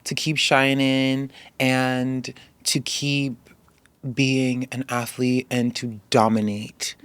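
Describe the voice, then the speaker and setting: A woman chatting casually as a podcast guest, talking in an animated, playful, emphatic way with casual slang. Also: very deep voice, slow pace, clean tone. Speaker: podcast guest, athlete and author. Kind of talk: casual chat.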